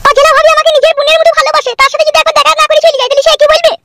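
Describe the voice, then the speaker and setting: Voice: very high-pitched, level tone, rapid-fire, vocal fry, background noise. A performer voicing a touchy, stubborn young village wife in a comic cartoon, complaining shrilly with a rural West Bengal accent.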